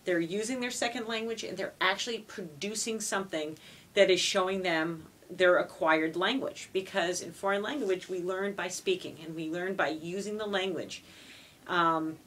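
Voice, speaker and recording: A woman's voice: on the low side, normal speed, a bit bright, a little hiss or hum. A woman from Montreal, a native French speaker, answering interview questions as a foreign language teacher, earnest and dotted with "you know.". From Canada (Montreal).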